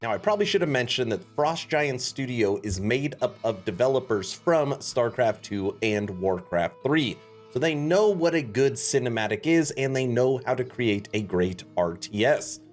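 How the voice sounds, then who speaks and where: A man's voice: mid-range voice, expressive, moderate pace, faint music behind. A man giving casual live reaction commentary as a streamer. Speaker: streamer reacting to a game announcement.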